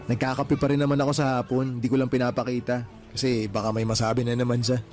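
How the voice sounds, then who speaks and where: A man plays an earnest young husband and father-to-be in a radio love story. He talks in an easygoing, conversational way, like a devoted hard worker. Music sits softly behind him. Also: medium pitch, level tone, fairly quick, close to an everyday voice. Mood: easygoing, teasing. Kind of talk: Tagalog radio romance drama acting.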